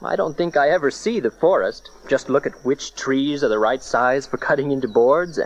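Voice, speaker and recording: A man playing a gentle, devout carpenter and protective husband in an audio Bible drama, reassuring with a touch of humor. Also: mid-range voice, moderate pace, natural voice, rough recording. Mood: light, amused.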